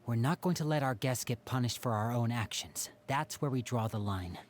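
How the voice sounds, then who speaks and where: An actor playing an earnest, protective young magician in a game. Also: male voice, medium pitch, moderate pace, lightly acted. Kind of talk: game voice acting.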